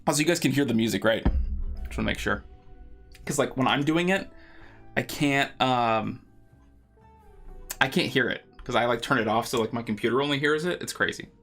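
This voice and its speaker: Male live-stream artist, mid-pitched, informal and rambling, talking off the cuff with music underneath. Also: brisk, long pauses, fairly bright tone. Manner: informal, rambling, thinking aloud. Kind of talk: casual live stream chat. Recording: music playing behind the voice. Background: background music.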